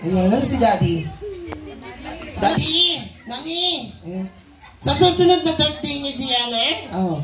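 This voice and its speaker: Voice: high-pitched, sing-song, measured pace. A Filipino ventriloquist hosting a children's puppet show with playful live banter. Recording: echoey venue with crowd noise.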